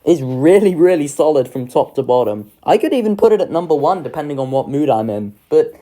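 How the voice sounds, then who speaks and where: A young adult reviewer talks through a ranking in a casual, informal way. The voice is mid-pitched with a lively, swinging intonation, enthusiastic and sweary. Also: fairly quick. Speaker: video creator ranking children's pantomimes.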